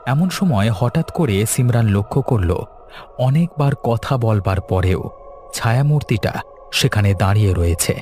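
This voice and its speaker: Low-voiced man narrating a spooky tale. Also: a little mellow, slight background noise. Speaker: story narrator. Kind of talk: dramatic scripted narration of a cartoon ghost story.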